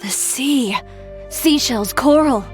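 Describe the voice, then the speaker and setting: A woman's voice: sits high, takes it slowly. A woman voicing a confident, determined young fashion designer in a video game, composed and self-assured. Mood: playful, decisive. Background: game music.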